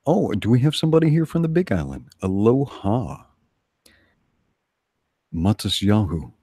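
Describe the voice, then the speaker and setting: A man's voice: on the low side, sing-song, a little slow, warm tone, slightly rough, dry recording, studio quality. A man co-hosting a casual livestream, teasing and joking dryly as he chats. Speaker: livestream co-host. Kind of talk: casual bantering chat.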